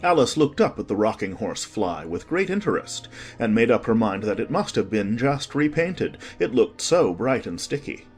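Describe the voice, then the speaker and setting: A man's voice: medium pitch, sing-song, normal speed, hardly any pauses, soft background music. A man narrating a children's story from a script as the storyteller.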